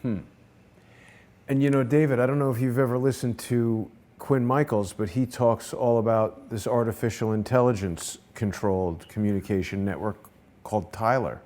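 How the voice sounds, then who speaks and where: A man's voice: medium pitch, fairly even intonation, normal speed, mellow. A man interviewing in a casual chat, listening attentively and asking questions. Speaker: interviewer, host.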